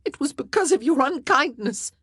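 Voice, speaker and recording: A woman's voice: expressive, moderate pace, fairly bright tone, dry recording. A woman voicing an anguished young queen who screams and sobs in jealous outbursts, in a dry, close recording. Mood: distraught. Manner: sobbing, high, screaming outbursts.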